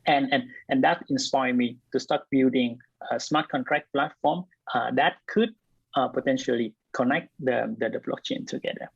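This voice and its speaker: A man's voice: mid-range voice, moderate pace, creaky, breathy edge, no echo. Hesitant Vietnam-born man, dry lo-fi sound. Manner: hesitant, many fillers and restarts. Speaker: blockchain company co-founder and CTO, guest. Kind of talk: casual interview answers.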